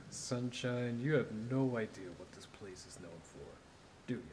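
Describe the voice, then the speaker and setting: A man's voice: medium pitch, slow pace, darkish tone, a little hiss or hum, close to an everyday voice. An actor playing a wry, scruffy injured man with a teasing, informal manner in naturalistic audio drama.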